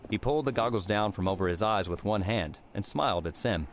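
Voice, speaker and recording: A man's voice: fairly low pitch, animated, fairly quick, slight background noise. A man reading scripted third-person fiction as an audiobook narrator. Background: prominent music with outdoor ambience.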